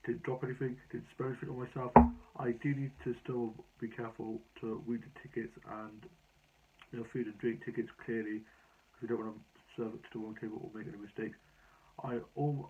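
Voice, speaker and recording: A young British man chatting casually in a rambling, anecdotal vlog, his voice a bit hoarse. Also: medium pitch, a little mellow. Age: young adult. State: slightly hoarse. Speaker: vlogger. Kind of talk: casual personal vlog chat. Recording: dull lo-fi sound.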